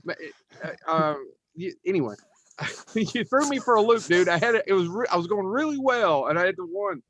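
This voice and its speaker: A man's voice: high-pitched, lively intonation, brisk, a bit bright. A man co-hosting a casual podcast panel, talking in an emphatic, rambling, informal way. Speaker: podcast co-host.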